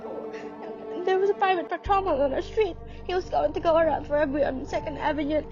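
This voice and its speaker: Radio drama: woman as anxious, demanding invalid wife, shrill-high register, agitated, indignant. Muffled recording, music underneath. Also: fairly even intonation, fast talker. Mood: insistent, fretful. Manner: agitated, indignant, insistent, fretful. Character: anxious, demanding, imperious bedridden married woman. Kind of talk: radio play acting.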